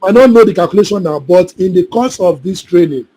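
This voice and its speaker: High-voiced trainer on a call. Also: male voice, animated, fairly quick, mellow, husky. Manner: repetitive, keeps checking students follow. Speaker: solar installation trainer. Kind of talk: live online class, answering questions. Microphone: video call.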